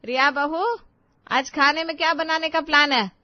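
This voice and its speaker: A woman's voice: high voice, steady tone, normal speed, no echo, dull audio, voice pushed up, close to an everyday voice. A woman voicing a domineering middle-aged Indian mother-in-law, exasperated and dramatic in a family story. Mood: bossy.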